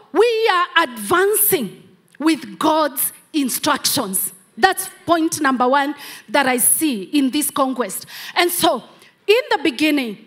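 A woman's voice: high-pitched, a bit bright. A middle-aged Kenyan woman whose first language is Kamba, preaching a sermon from a church stage into a handheld mic, with emphatic repeated phrases. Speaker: pastor. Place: large church hall, on stage.

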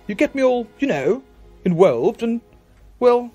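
A man playing a flustered, earnest upper-class gentleman in a homemade comic radio play. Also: very high voice, big pitch swings, unhurried, lo-fi sound, soft background music, heavily acted. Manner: flustered, stiff-upper-lip exclamations.

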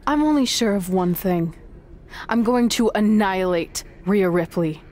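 A woman's voice: medium pitch, unhurried, a little mellow, natural voice. An actress voicing a young female wrestler, cold and set on revenge. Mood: determined. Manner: cold, determined. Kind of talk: game cutscene dialogue.

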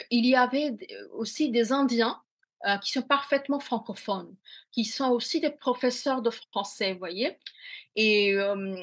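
A middle-aged woman from India, Bengali-native, talks over a video call from a small room at home. Her voice sits a little high and has a husky grain. She reflects as she speaks, full of fillers and restarts. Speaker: author. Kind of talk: interview answers.

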